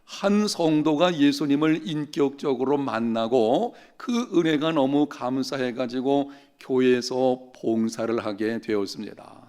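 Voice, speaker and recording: Korean pastor telling stories in a sermon. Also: male voice, medium pitch, a little mellow, smooth. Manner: storytelling, slowing down to stress key phrases. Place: church sanctuary.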